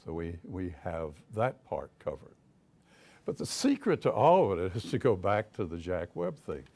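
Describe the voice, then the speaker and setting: An older man with a deep voice and a Southern US accent, a private investigator telling folksy stories to an audience, chuckling as he goes. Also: huge pitch swings, moderate pace, dark tone. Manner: folksy storyteller, chuckles often, many restarts. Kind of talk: casual talk to an audience.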